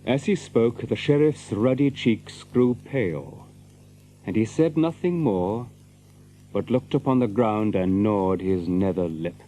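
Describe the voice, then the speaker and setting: An actor as the narrator of an old English legend, reading dramatic storybook prose in an archaic style. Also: male voice, lowish voice, expressive, unhurried, mellow, slight background noise, poor audio. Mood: measured. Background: music.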